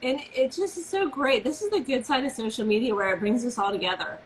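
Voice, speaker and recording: A woman's voice: a little high, quick pace, slight background noise, poor audio. A woman hosting a casual live video interview, talking with gushing enthusiasm. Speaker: host.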